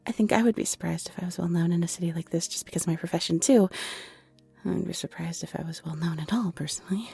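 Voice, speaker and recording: A woman's voice: lowish voice, quick pace, gravelly, mellow, husky, no room sound, full character voice. A woman playing a shy, polite young half-owl shopkeeper, hesitant, apologetic and nervously chatty, in a roleplay audio drama. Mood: curious, apologetic.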